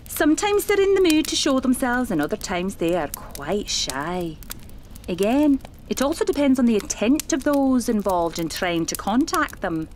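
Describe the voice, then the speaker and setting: A woman playing a seasoned, genteel spiritualist medium in a radio play, speaking in a measured, dramatic way. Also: a little high, sing-song, darkish tone, slight background noise. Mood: earnest, instructive.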